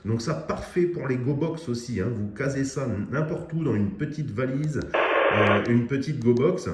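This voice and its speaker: A man's voice: medium pitch, normal speed. A man casually demonstrating a CB radio to viewers, chatty and full of fillers. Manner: chatty, many fillers, addresses viewers directly. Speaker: radio equipment reviewer. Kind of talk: casual product demo. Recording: echoey room, faint noise.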